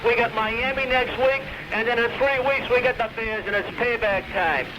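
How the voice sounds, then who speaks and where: A man's voice: extremely high pitch, moderate pace. A man calling a sports radio show as an exuberant fan, punching out cheering slogans over the telephone. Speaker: phone-in caller. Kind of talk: excited sports fan call. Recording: muffled noisy phone line, old radio.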